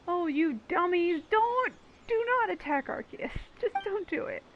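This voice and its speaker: A woman's voice: extremely high pitch, expressive, unhurried, highs cut off, a little hiss or hum. A woman streamer giving casual, playful commentary on a video game, joking and acting out mock dialogue. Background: game music.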